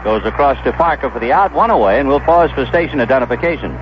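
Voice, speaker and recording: An American man calling baseball play-by-play on the radio, conversational and descriptive, filling in stories between pitches. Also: animated, fast. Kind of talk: play-by-play commentary. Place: ballpark broadcast booth. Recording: dull, noisy vintage 1970s radio broadcast.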